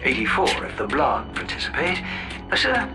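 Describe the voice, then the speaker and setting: An actor voicing a deferential robot butler in a video game, formal and sardonic. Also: male voice, a little high, sing-song, normal speed, music in the background. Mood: dry deference.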